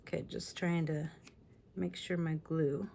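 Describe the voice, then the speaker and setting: Raspy-voiced woman chatting through a craft tutorial. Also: sits low, little pitch movement, a little slow, mellow. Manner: chatty, apologetic asides while working. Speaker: scrapbooking tutorial host. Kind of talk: casual crafting tutorial talk. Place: home craft room. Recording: faint room noise from fan. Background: fan running.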